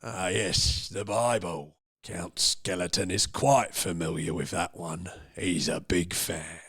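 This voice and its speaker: A man playing a creepy, courteous Hungarian count with a gravelly voice and a slow, ominous, formal manner in a radio theatre comedy. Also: steady tone, fairly bright tone. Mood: drily polite. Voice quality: low, gravelly. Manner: slow, ominous, formal, speaks of himself in third person. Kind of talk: old-time radio theatre comedy acting.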